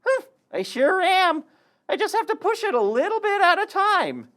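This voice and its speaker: A woman's voice: very high voice, sing-song, moderate pace, fairly bright tone, full character voice. A woman voicing a small, plucky, kind mouse in a children's puppet show, with an earnest, chirpy delivery. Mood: earnest, friendly.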